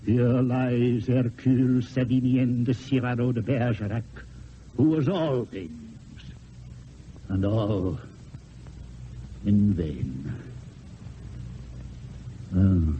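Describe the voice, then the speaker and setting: An actor playing a proud, lovelorn, dying poet-swordsman in an old-time radio play, with theatrical, declamatory delivery and drawn-out poetic phrases. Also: medium pitch, a little hiss or hum. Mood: wry, bitter. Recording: dull lo-fi old radio, music.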